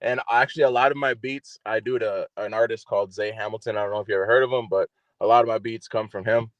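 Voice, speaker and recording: A man from Wisconsin with a deep voice, a musician guest talking softly and a little hesitantly. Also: fairly even intonation, fast, no room sound, lo-fi sound. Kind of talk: casual interview chat.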